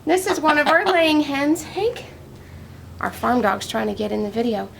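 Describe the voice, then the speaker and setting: Woman farmer presenting to preschoolers, higher voice with some fry, teacherly and simple, noisy home-video sound. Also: steady tone, moderate pace. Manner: simple teacherly talk with questions to young listeners. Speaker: farmer reading a story to young children. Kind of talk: children's storybook read-aloud and farm show-and-tell.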